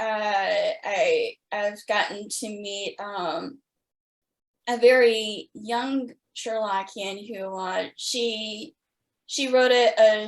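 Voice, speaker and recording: A woman with a fairly high voice hosts a casual interview. She talks like an opinionated fan, stating her views with emphasis. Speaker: podcast host and interviewer.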